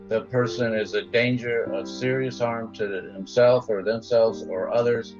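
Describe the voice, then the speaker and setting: A man's voice: mid-range voice, fairly even intonation, measured pace. A man giving explanatory legal commentary. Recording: dull lo-fi sound, guitar music underneath.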